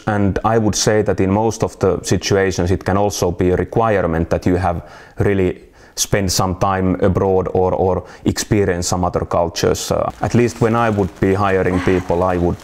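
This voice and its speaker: A man speaking in an interview about hiring people, measured and halting, with restarts. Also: low voice, a little mellow, a little hiss or hum. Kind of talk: documentary interview.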